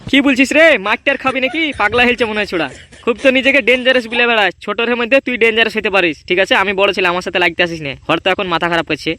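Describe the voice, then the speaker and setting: A man acting as a hot-headed cartoon boy. He talks extremely fast in a high voice, scolding and defiant. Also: bright, forceful tone. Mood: angry, threatening. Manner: defiant, scolding, rapid-fire. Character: hot-headed older boy guarding a secret paper. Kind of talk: amateur comedy cartoon dub.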